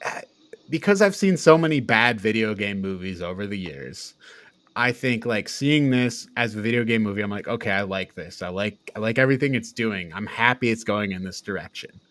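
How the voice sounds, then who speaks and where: A man's voice: fairly low pitch, expressive, normal speed, fairly bright tone, no room sound. A man co-hosting a casual film review chat, informal and given to swearing and laughing. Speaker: podcast co-host.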